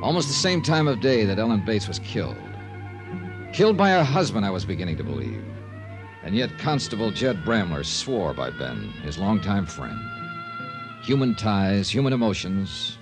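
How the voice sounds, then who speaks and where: An actor playing a skeptical, world-weary insurance investigator in an old radio detective drama, questioning wryly and narrating dramatically. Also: male voice, on the low side. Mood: brooding. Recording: noisy vintage radio recording.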